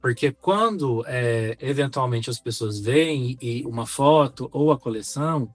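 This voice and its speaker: A man with a Brazilian accent talking reflectively as a podcast co-host, measured and articulate, feeling for his words. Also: mid-range voice, dry recording, faint music behind. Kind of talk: reflective conversational podcast talk.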